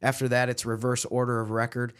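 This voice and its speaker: A man's voice: mid-range voice, completely flat delivery, brisk, smooth. A man co-hosting a sports podcast, giving casual analysis in a detailed, explanatory way.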